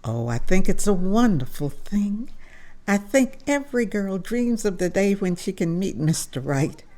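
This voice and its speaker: A woman reading the part of a polite, devout young schoolteacher at a table read, answering softly and modestly. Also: mid-range voice, very animated, measured pace. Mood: pleased.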